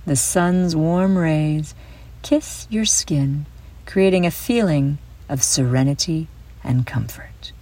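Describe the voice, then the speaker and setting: A woman hosting a guided visualization for children, speaking in a soothing, deliberate way with gentle emphasis. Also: sits low. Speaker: host and guide of a children's mindfulness audio show. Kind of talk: guided visualization, scripted narration for kids.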